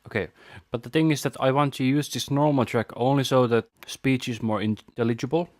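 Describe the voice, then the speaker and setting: A man presenting an audio tutorial, casually explaining a software setup step by step into a close microphone. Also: medium pitch, steady tone, brisk, no echo.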